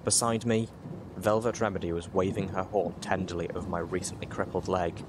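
A man's voice: low voice, little pitch movement, brisk, noisy background. A man reading a first-person story aloud as a dramatic audiobook narration.

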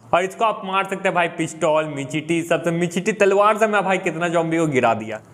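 Young Indian man, upbeat gaming YouTuber, slightly high voice, chatty and excited. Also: fairly quick. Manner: upbeat, chatty, mixes English words into Hindi. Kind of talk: excited gameplay update commentary.

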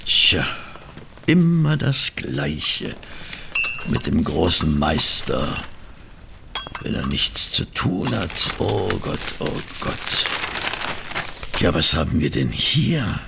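A man playing a chatty, good-natured Victorian gentleman who fusses and mutters, in a German audio drama. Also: a bass voice, very animated, takes it slowly, creaky, pauses often, background noise, heavily acted. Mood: musing, eager. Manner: fussy, muttering to himself while reading.

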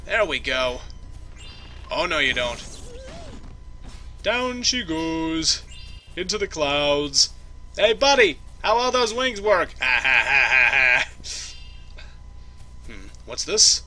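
Goofy, bright-voiced man playing a game. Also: fairly high pitch, big pitch swings, slow, pauses often. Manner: goofy, jokey, talks to the game characters. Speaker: let's play host. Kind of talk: casual gameplay commentary. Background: game music.

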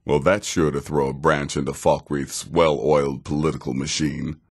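An actor playing a cynical, seasoned male assassin in a video game, with a dry, deadpan and deliberate manner. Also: rumbling low, slightly rough, exaggerated voice. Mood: sardonic, amused. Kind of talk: video game character dialogue.

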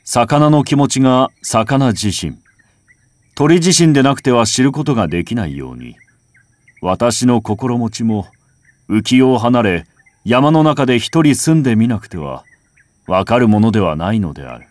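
A man reciting a literary first-person text as a scripted narration, in a measured, contemplative way with dramatic phrasing. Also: medium pitch, big pitch swings, a little mellow, no room sound. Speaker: audiobook reader. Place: home recording.

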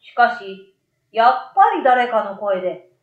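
A woman's voice: a little high, animated, measured pace. A middle-aged woman narrating a children's story from a script into a desktop microphone in a small room. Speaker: story reader. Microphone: desktop condenser microphone. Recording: roomy, slightly dull sound.

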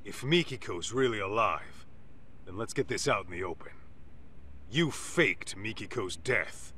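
A streetwise, middle-aged tough-guy detective for a video game. His low voice takes its time yet swings widely in pitch, blunt and full of slang, with music playing behind him. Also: expressive, measured pace, pushed and bright, a little hiss or hum. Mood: blunt, pressing.

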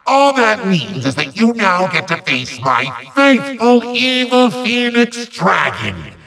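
Small evil sorcerer for a video game: high-pitched, wildly swooping, petulant and gloating, hammy theatrical threats. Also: male voice, sing-song, takes it slowly, creaky, sharp tone, far from the mic, heavily acted. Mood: gloating, commanding.

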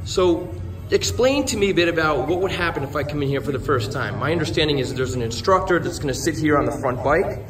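A man with a somewhat high voice talks in an eager, salesy way as he walks through a cycling studio. Music plays clearly underneath. He keeps an upbeat promotional tone. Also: fast talker. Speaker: gym promoter interviewing the instructor. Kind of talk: enthusiastic promotional walkthrough. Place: large gym cycling studio, indoors. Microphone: handheld phone camera. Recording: gym room with music behind. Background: music playing.

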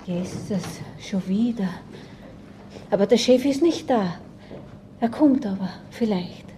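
An actress playing a simple, chatty butcher shop employee in a crime radio play, naive and plain-spoken, with a Viennese accent. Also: female voice, mid-range voice, slow, mellow, slight background noise, natural voice. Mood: flustered.

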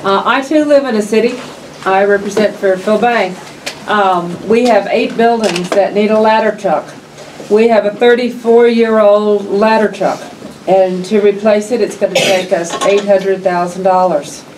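Older lady at a county board table, loud and blunt, kinda far off the mic, faint hiss. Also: mid-range voice, steady tone, unhurried. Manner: loud, plainspoken, reads figures aloud. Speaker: justice of the peace. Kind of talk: public meeting remarks. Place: county meeting room, around a long table. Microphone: table microphone, camera across the room. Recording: distant mic, faint room noise.

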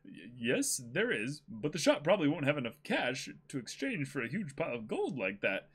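A man's voice: on the high side, wildly swooping pitch, brisk, a bit bright, slightly rough, full character voice. A man voicing a helpful, matter-of-fact shopkeeper from a comic, explaining things plainly to customers.

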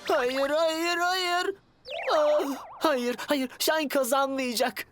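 A woman's voice: high-pitched, steady tone, extremely slow, loud music behind the voice. A woman voicing a loyal, anxious young car, the devoted best friend in a dubbed children's cartoon. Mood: worried.

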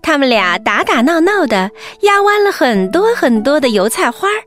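High, lively woman telling bedtime stories. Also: normal speed, soft background music. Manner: animated, addresses children directly. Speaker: children's storyteller. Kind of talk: expressive bedtime story narration.

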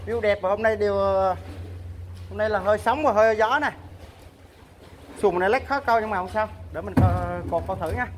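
A man's voice: high-pitched, a little fast, long pauses. A man in his thirties from Vietnam, fishing from a small boat by a pier and chatting casually to viewers about his gear and the water. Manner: chatty, keeps addressing viewers as family. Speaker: fishing vlogger. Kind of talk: casual vlog narration. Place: small boat under a pier by the sea, outdoors. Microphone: action camera held at arm's length. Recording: noisy outdoor camera audio. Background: wind, water and boat noise.

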